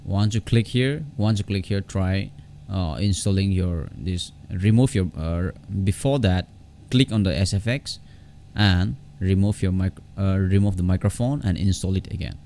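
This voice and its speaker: Deep-voiced, creaky man giving a tutorial. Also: mellow, a little hiss or hum. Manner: halting, repetitive, often restarting phrases. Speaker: tutorial host. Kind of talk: unscripted software tutorial.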